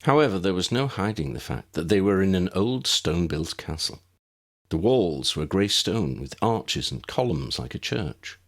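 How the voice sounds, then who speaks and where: A man's voice: low-pitched, very animated, moderate pace. A man narrating an audiobook story in a scripted storytelling style.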